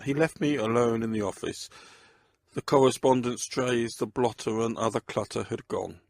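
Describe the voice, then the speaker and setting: A man's voice: mid-range voice, fairly even intonation, moderate pace, creaky, no echo. A man reading scripted first-person narration of a crime story.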